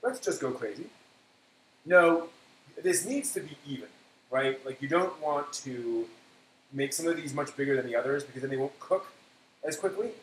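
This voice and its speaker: A man hosting a casual cooking live stream, thinking aloud in a halting way and often trailing off. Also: a little high, lively intonation, fairly bright tone. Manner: halting, self-talking, trailing off. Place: indoor kitchen. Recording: echoey room, background noise.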